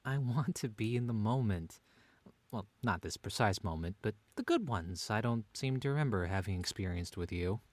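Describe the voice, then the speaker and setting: A man's voice: on the low side, normal speed, dry recording, natural voice. A man playing a long-suffering husband and father who banters drily in a scripted audio comedy drama. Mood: deadpan exasperated. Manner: dry, deadpan, bantering.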